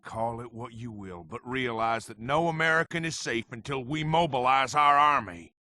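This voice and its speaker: A man playing a forceful, alarmist official giving a public address. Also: medium pitch, moderate pace, dry recording, studio quality, close to an everyday voice. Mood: stern, rousing.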